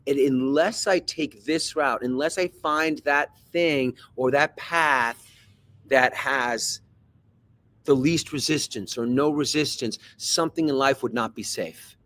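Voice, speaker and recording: A man from Switzerland, a podcast guest who is an actor and coach, telling a personal story in a thoughtful, halting way with many repeated words. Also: medium pitch, fairly bright tone. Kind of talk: reflective personal story in conversation.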